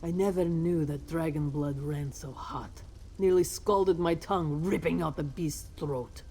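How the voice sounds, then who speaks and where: Slow, dark-voiced, fierce cat-folk woman fighter. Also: sits low, husky, heavily acted. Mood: savage relish. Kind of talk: video game voice acting, dramatic fantasy dialogue. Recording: game audio with faint background ambience.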